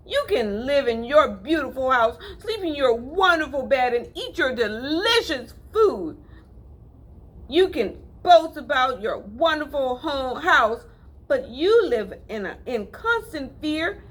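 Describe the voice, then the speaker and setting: A woman doing a shaky, timid country mouse. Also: sits high. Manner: shaky, earnest pleading. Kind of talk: read-aloud storybook.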